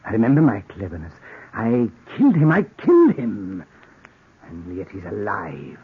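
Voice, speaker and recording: An actor playing a cunning, deranged murderer, confessing in a theatrical, brooding, repetitive 1940s radio-drama style. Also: male voice, on the low side, huge pitch swings, normal speed, husky, heavily acted. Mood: manic, agitated. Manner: theatrical, brooding, obsessively repeating himself. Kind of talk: 1940s radio acting, first-person narration. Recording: noisy muffled old radio recording.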